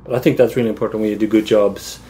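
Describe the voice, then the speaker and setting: A man's voice: fairly low pitch, fairly even intonation, rapid-fire, dark tone. A man from Sweden, an art director guest, talking thoughtfully and agreeably in an interview. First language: Swedish. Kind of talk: casual interview answers.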